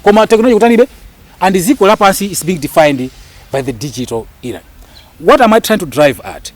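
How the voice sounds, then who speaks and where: A man's voice: sits high, sing-song, fast talker. A Malawian man speaking emphatically and argumentatively as an interview guest, repeating phrases for stress and mixing two languages. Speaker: interview guest, actor and lecturer. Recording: faint background noise.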